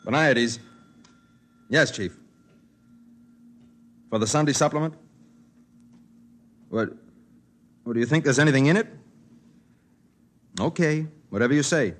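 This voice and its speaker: A man's voice: mid-range voice, unhurried, pauses often, close to an everyday voice. An actor in a 1950s radio drama playing a wry, matter-of-fact newspaper reporter who tells his own story. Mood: brisk, businesslike. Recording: vintage 1950s radio broadcast.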